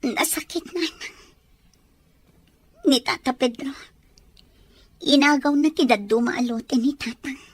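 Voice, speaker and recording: Wounded, embittered young daughter in radio drama; high husky voice, dry sound. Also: female voice, measured pace, pauses often, no echo. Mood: hurt, bitter. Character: wounded, bitter young daughter jilted by her lover. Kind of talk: Ilocano radio drama acting.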